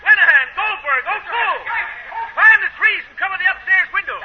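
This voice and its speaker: A high, animated, commanding voice: a brusque American police captain barking orders. It comes from old radio comedy, muffled under clear noise. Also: male voice, fairly quick. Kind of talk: 1930s radio comedy acting. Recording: muffled, noisy 1930s radio recording.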